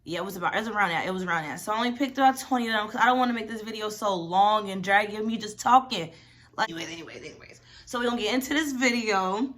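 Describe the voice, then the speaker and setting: Young woman, early twenties, bright tone, chatty, rambling, casual vlog talk. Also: mid-range voice, racing. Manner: chatty, rambling, lots of "y'all" and "like". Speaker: vlogger.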